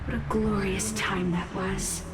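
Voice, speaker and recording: An actress playing a proud, zealous cult leader in a video game, speaking in solemn, theatrical oratory. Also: female voice, mid-range voice, little pitch movement, a little slow, lightly acted. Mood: bitter, lamenting. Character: proud zealous female cult leader craving lost immortality. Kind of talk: dramatic video game cutscene acting. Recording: loud music under the voice.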